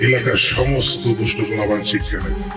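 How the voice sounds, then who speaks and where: A vengeful tree spirit talks slowly in a menacing voice of average pitch, as a man acts it out. He sounds set back from the mic, with music behind him. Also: fairly even intonation, natural voice. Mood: gloating. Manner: slow, menacing. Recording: from far off the mic.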